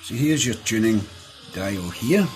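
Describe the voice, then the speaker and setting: Low-voiced Scottish man reviewing a gadget. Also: animated, measured pace, music in the background. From Scotland. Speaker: product reviewer. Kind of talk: casual hands-on product review. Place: small indoor room at a desk.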